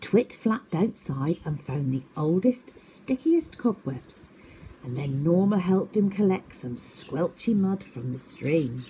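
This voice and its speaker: A woman's voice: low-pitched, animated, slow, dark tone, a little hiss or hum, low-quality recording. A woman narrating a children's story expressively, as a teacher does at story time.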